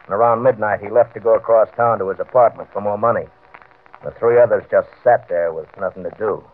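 A man's voice: on the low side, fairly quick, lightly acted. An actor playing a desperate, nervous small-time gambler telling of his downfall in colloquial slang, in an old-time radio crime drama. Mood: brooding. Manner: colloquial, tough-guy slang, stammering under pressure. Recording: vintage radio, narrow band, faint music.

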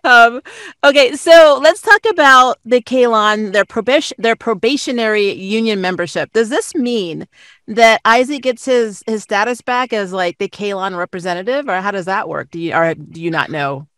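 A woman's voice: medium pitch, normal speed, a bit bright, dry recording, studio quality. A young adult woman hosting a casual, enthusiastic fan interview over a video call. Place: indoor room, on a video call.

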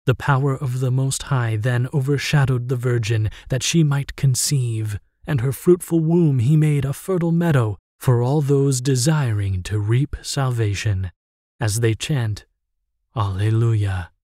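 A man's voice: mid-range voice, unhurried, warm tone, no echo, clean studio sound. A man reciting a liturgical hymn in a reverent, formal, chant-like manner.

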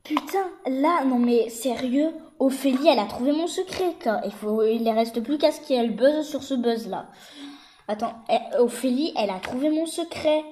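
A woman voicing an excitable, slangy young reality-show contestant who rambles and repeats herself insistently in a toy story. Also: sits high, normal speed, natural voice. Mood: flustered. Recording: echoey, noisy homemade recording with clicks.

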